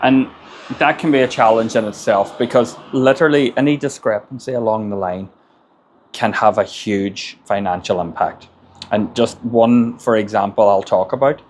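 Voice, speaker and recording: A man's voice: fairly low pitch, normal speed, slight background noise. An Irish man, a construction business owner, gives plain-spoken advice in a casual monologue and often repeats words as he gathers his thoughts. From Ireland.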